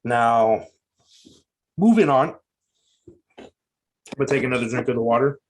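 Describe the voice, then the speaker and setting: A man's voice: mid-range voice, measured pace, darkish tone, low-quality recording. A man co-hosting a podcast, chatting casually in a slangy, joking, playfully nitpicky way. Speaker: podcast co-host. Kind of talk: casual banter reviewing a movie.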